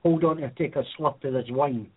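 Husky British man on the phone. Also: medium pitch, fairly quick. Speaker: caller. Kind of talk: casual joking phone chat. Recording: tinny phone line.

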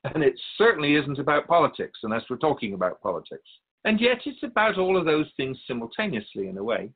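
A man playing a serene, wise otherworldly keeper of souls in a radio drama, speaking in a measured, formal, instructive manner. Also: fairly high pitch, big pitch swings, narrow, tinny audio. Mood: solemn, assured.

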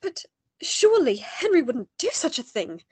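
A woman playing an anxious, pleading gentlewoman in a melodramatic radio play, earnest and emotional. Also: sits high, very animated, moderate pace, no room sound. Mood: alarmed.

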